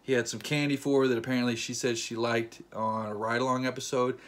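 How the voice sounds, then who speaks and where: A young man chats to the camera in a casual vlog, playful and quick to joke. His voice sits at an ordinary middle pitch, and he slips into little acted quotes as he retells the story. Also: level tone, a bit bright. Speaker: vlogger.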